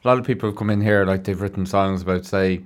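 A man's voice: deep voice, fairly even intonation, fast talker. An Irish man presenting a radio show and interviewing in a casual, chatty, encouraging way. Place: radio studio. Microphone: studio broadcast mic.

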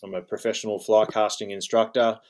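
This is an Australian man around forty with a fairly low voice. He keeps his pitch flat and even. He speaks casually as an interview guest and pads his speech with plenty of "um" and "you know". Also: fairly quick. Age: 40s. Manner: rambling, lots of fillers like um and you know. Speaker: guest, fly fishing guide. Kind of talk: relaxed interview talk.

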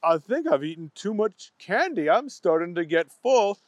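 A man's voice: high voice, big pitch swings, normal speed, dry recording. A man voicing a dopey, greedy young man who loves candy and talks in a hesitant way. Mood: sheepish.

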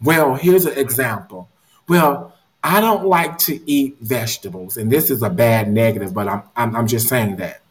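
A man leading a casual motivational discussion, earnest and animated, often repeating words as he thinks. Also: mid-range voice, normal speed.

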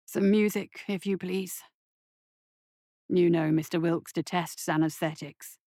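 A woman playing a calm, sinister male surgeon in an audiobook, polite and soothing, with a measured, softly commanding manner. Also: lowish voice, no room sound, clean studio sound, voice pushed down, close to an everyday voice. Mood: coaxing.

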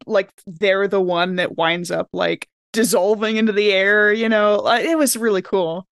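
A woman's voice: medium pitch, steady tone, normal speed, dry recording, studio quality. A woman chatting casually and enthusiastically as a podcast co-host, rambling and often saying like. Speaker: podcast co-host and role-playing game player. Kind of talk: casual panel chat.

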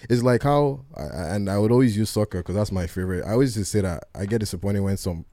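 A man's voice: on the low side, fast talker, warm tone. A man co-hosting a podcast in a casual chat, blunt and opinionated, swearing freely. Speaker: podcast co-host.

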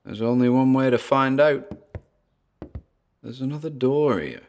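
A man playing an uneasy paranormal investigator in an audio drama, muttering hesitant observations onto tape as he explores, with a gravelly voice. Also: medium pitch, measured pace, a little hiss or hum, natural voice. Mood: cautious. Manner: hesitant, muttering observations with sighs and trailing asides. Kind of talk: audio drama found-recording monologue.

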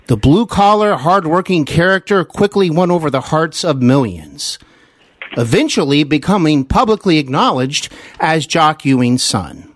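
Higher-voiced, animated male podcast host. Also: moderate pace, no echo. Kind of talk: scripted introduction and interview hosting.

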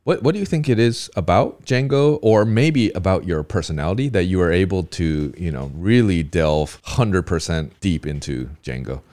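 A man's voice: low-pitched, expressive, normal speed, few pauses, mellow. A man hosting a podcast, chatting casually and asking questions of his guest. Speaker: podcast host. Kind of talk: casual chat, interviewing.

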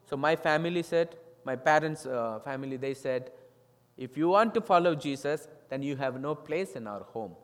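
A man's voice: a little high, expressive, normal speed. A man with an Indian accent, a native Tamil speaker, giving a testimony sermon as a guest pastor, telling his story earnestly in simple English with some non-native grammar. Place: church sanctuary. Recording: long reverb of a church hall.

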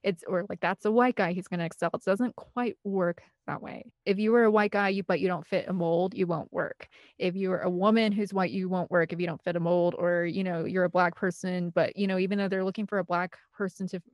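A woman's voice: on the low side, steady tone, brisk, few pauses, no room sound, clean studio sound. A woman giving an interview as a guest, telling personal stories in a candid, rambling, earnest and conversational way. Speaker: interview guest, film composer and director.

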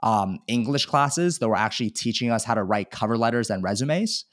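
A man's voice: mid-range voice, expressive, a little fast, fairly bright tone, no echo, studio quality. A man in his thirties from China, telling his life story candidly as a podcast guest, self-deprecating and chatty. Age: 30s. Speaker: guest, e-commerce seller. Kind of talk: casual podcast interview.

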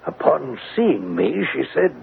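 A man's voice: medium pitch, big pitch swings, measured pace, creaky, heavily acted. An actor playing a stern, anguished middle-aged father with formal, theatrical delivery in an old radio drama. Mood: troubled. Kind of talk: vintage radio drama acting. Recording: tinny, hissy old radio recording.

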